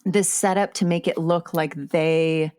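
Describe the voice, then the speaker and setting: A woman talking casually about film as a podcast host, enthusiastic and halting in a conversational way. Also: sits low, steady tone, darkish tone.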